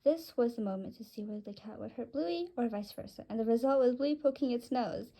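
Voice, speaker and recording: A woman's voice: a little high, brisk, warm tone. A woman narrating a scripted first-person story, reading it aloud.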